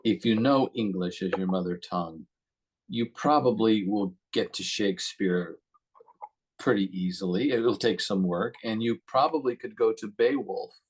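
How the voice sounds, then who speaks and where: A man with a lowish voice speaks as the host of a conversational interview. He builds his questions slowly through asides, in a halting and roundabout manner. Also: breathy edge, poor audio.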